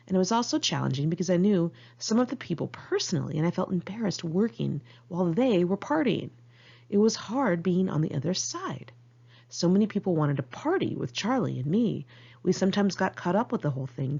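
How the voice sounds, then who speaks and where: An American woman reads her own memoir as an informal audiobook, with a candid, wry, expressive manner. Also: lowish voice, gravelly, slightly rough, dull audio. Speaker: author reading own memoir.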